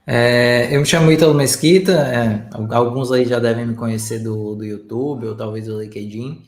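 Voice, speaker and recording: A man's voice: medium pitch, steady tone, moderate pace, reverberant. A man from Fortaleza, working as a data engineer, introducing himself with hesitant restarts. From Fortaleza, Brazil. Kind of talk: online class introduction.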